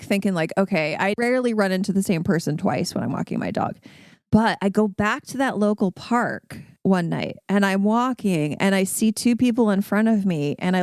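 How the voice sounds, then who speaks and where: A woman's voice: medium pitch, a little mellow, dry recording, studio quality. A North American woman podcast host telling casual stories in a self-deprecating, sweary way. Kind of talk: casual chat, animated anecdote telling.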